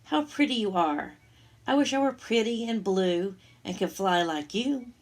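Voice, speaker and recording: A woman's voice: mid-range voice, animated, unhurried. Wistful small caterpillar fellow, read by a woman, admiring, polite, simple exclamations, storybook character.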